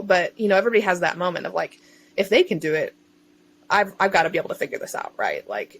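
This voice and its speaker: A woman's voice: on the low side, low-quality recording. A woman speaking as a podcast guest in a chatty, informal way, telling her own story in casual conversation. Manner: chatty, informal, self-interjecting. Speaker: self-employed digital marketer and online bookseller.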